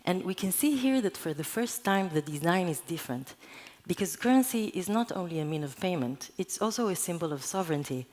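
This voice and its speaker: A woman's voice: lowish voice, animated, brisk, darkish tone. A woman whose first language is Hebrew, giving a conference talk in an earnest, explanatory manner. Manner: earnest, explanatory, with occasional restarts. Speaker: conference speaker. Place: conference hall.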